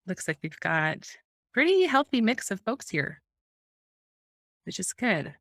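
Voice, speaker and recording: A woman's voice: mid-range voice, big pitch swings, takes it slowly, fairly bright tone, dry recording, studio quality. A woman moderating a webinar as host, talking in a light, easygoing way.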